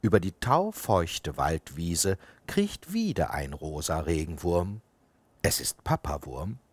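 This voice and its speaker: Calm male storybook narrator. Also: sits low, slow pace, slightly rough, dry recording.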